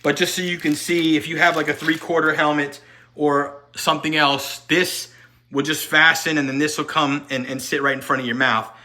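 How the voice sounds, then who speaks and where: A man's voice: fairly high pitch, level tone, normal speed, pushed and bright. A man giving an unscripted step-by-step installation tutorial, talking as he works with his hands. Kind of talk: hands-on how-to demonstration, unscripted.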